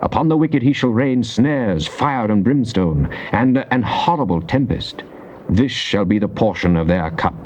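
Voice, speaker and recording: A British actor playing a fervent psalmist in a dramatized King James Bible reading, declaiming solemnly and deliberately. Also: lowish voice, lively intonation, darkish tone. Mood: resolute. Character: psalmist, fervent man praying and praising God. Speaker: scripture reader. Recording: dull, noisy cassette tape transfer.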